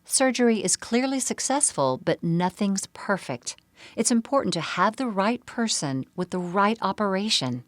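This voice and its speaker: A woman reading scripted medical seminar narration in a measured, explanatory way. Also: medium pitch, lively intonation, no room sound.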